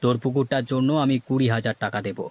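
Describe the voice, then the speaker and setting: A man's voice: medium pitch, steady tone, fast, a little mellow, natural voice. A man voicing a shrewd, scheming village businessman in a cartoon, bossy and self-satisfied. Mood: commanding. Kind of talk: Bengali cartoon voice acting.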